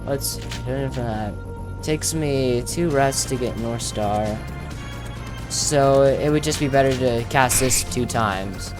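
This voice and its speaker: A man's voice: medium pitch, takes it slowly. Man doing casual gameplay commentary over music. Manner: thinks aloud, reacting to the game. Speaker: gamer commentating on own playthrough.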